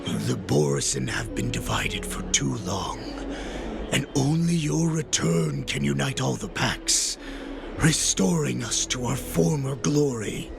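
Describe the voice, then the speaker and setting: A man voicing a fervent, servile wolf-tribe warrior in a video game, rough and emphatic. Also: medium pitch, full character voice. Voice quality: rough, gravelly. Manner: deferential to his lord, emphatic.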